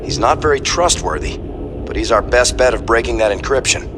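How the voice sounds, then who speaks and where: An actor playing a cautious, loyal second-in-command in a sci-fi game, with a crisp, businesslike manner. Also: male voice, mid-range voice, moderate pace, noisy background, close to an everyday voice. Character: earnest, cautious male ship's officer, loyal second-in-command.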